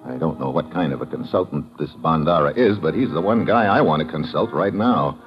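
A man's voice: a bass voice, animated, a little fast, dull audio. A man with an American accent playing a tough, wry agent, terse and slangy, narrating an old radio crime drama. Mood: wry, tense narration. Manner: hard-boiled, terse, slangy. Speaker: lead actor and narrator. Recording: band-limited old radio recording, dramatic music.

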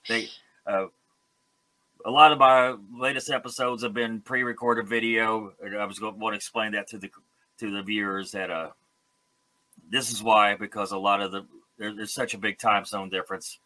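A man hosting an interview show in a casual, enthusiastic, chatty way, quick to laugh. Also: fairly low pitch, flat delivery, bright, forceful tone, poor audio. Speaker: host of a music interview show.

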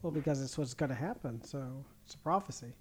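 Laid-back man, mellow tone, casual and filler-heavy. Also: mid-range voice, expressive, fast. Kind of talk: casual chat.

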